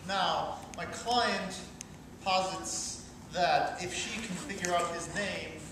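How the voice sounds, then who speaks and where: A man improvising as a pedantic attorney, arguing in a halting, mock-legal manner on stage in an echoey, noisy room. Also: high-pitched, distant mic. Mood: mock formal. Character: pedantic, hesitant defense attorney for a fairytale villain. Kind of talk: improv comedy storytelling on stage.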